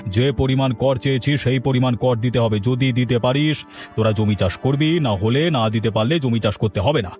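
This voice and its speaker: A man's voice: mid-range voice, brisk, darkish tone, lightly acted. Man acting a greedy, blustering village landlord, faint music. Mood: angry, threatening. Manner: blustering, scornful, bossy. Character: greedy, haughty village landlord, bullying his servant and tenants. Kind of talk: melodramatic Bengali village audio drama.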